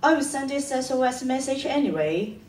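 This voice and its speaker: A woman's voice: high-pitched, animated, moderate pace, darkish tone. A teenage girl acting a sensible, reassuring schoolgirl explorer in a radio drama. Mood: steady, concerned. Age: teens. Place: stage of a studio theatre. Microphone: far camera. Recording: echoey theatre, distant microphone.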